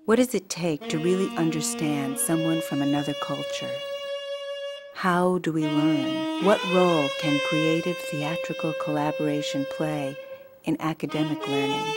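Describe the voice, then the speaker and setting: A woman who works as an actress, writer and teacher, giving measured, reflective scripted narration. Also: low-pitched. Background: violin music.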